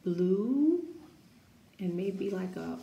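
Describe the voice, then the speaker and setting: A woman reading a picture book aloud for children's story time, expressive and deliberate, with casual asides and questions to young listeners. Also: medium pitch, gravelly, dull audio. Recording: roomy echo, faint background noise.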